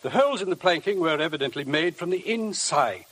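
An actor playing a formal, probing coroner questioning a witness in a 1950s radio drama. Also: male voice, a little high, expressive, a little fast, fairly bright tone, slightly rough.

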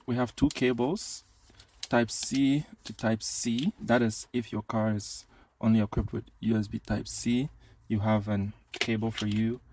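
A man's voice: lowish voice, steady tone, measured pace, a little mellow, a little hiss or hum. A man giving a casual hands-on product demo as a tech reviewer, speaking inside a car.

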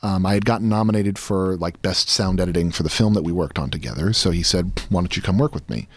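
A man around his thirties speaks in a lowish voice, telling his story casually as a guest. The sound is close and dry, from a studio microphone. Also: fast talker, no echo. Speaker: guest, audio drama creator. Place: small indoor studio. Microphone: close studio microphone on boom arm, headphones.

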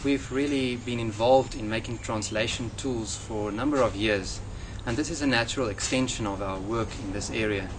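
A man in his thirties with a South African accent, a native Afrikaans speaker, answering interview questions in a measured, earnest way outdoors in front of a hedge. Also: medium pitch. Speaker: software developer. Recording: outdoor noise with passing traffic.

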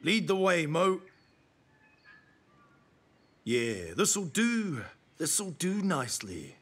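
A man's voice: on the high side, sing-song, drawn-out words, a bit bright, slightly rough, full character voice. A man voicing a cool, self-important wild horse in a preschool cartoon. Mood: smug. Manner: laid-back, self-important, theatrical. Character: cool, smug, self-styled wild stallion.

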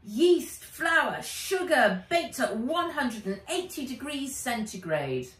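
A woman's voice: sits high, sing-song, slow pace, a bit bright, reverberant, distant mic, pitched above the performer's own voice, heavily acted. A woman voicing a small robot dragon, eager, precise and dutiful, in a storybook reading.

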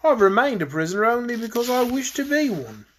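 A man voicing a huge, boastful dragon in a storybook, gruff and commanding in manner. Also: very high-pitched, big pitch swings, fairly quick, a bit bright, a little hiss or hum, pitched above the performer's own voice, exaggerated voice. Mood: confident. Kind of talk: storybook character voice in narrated children's fantasy.

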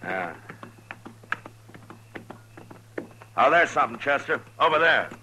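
An American actor playing a calm, world-weary frontier lawman in a 1950s radio Western, speaking in a measured, laconic way. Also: mid-range voice. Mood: watchful. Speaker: lead actor and narrator. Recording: old 1950s radio broadcast, dull and noisy.

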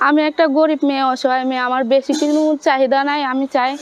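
A woman's voice: high voice, flat delivery, fast talker, slight background noise. A young woman with a Bangladeshi accent answering informally in an interview about her own life, often checking that the listener follows. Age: young adult. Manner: repeatedly checks listener understands. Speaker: interview guest, domestic worker.

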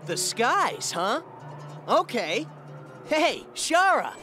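A man's voice: very high-pitched, huge pitch swings, very slow, heavily acted. A man voicing a cocky young hedgehog hero in a video game cutscene, casual and eager. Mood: breezy, eager. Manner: breezy, casual. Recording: loud music under the voice.

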